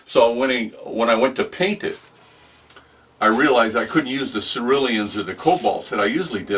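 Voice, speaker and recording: A man's voice: mid-range voice, a bit bright, slightly rough. An American man in his sixties talking casually about his painting work. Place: indoor home studio.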